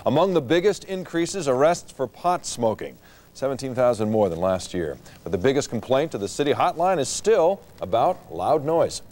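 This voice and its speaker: A man's voice: medium pitch, animated, normal speed, a little mellow. A man anchoring a television newscast, reading scripted news and thanking reporters.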